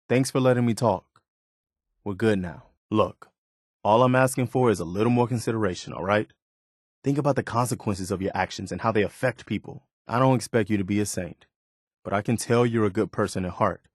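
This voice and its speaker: An actor playing an earnest, principled and loyal soldier in a video game, speaking in a sincere, plain-spoken way. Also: male voice, on the low side, a little mellow, no room sound, studio quality, natural voice. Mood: sincere, appealing. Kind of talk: video game companion voice lines.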